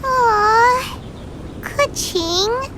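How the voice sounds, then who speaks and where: A woman voicing a tiny, cheerful, childlike fairy companion, earnest and excitable, in a video game cutscene. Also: extremely high pitch, drawn-out words, heavy background noise, full character voice. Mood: concerned. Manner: exclamatory, naive, expressive.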